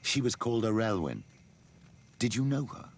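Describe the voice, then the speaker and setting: An actor playing a concerned male companion in a video game, with a gravelly voice. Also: lowish voice, big pitch swings, moderate pace, slight background noise. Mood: sombre. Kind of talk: video game cutscene acting.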